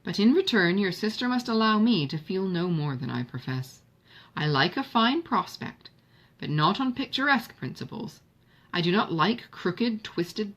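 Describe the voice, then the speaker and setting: Woman reading as a dry, plain-speaking young Regency gentleman. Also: medium pitch. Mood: firm, wry. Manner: measured, emphatic listing, formal period diction. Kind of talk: audiobook character dialogue.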